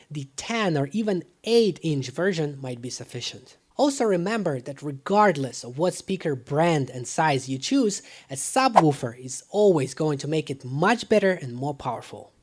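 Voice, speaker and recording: Man with lively, swinging intonation. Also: on the high side. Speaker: product reviewer and musician. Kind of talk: scripted product review to camera. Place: living room at home. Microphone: dedicated microphone.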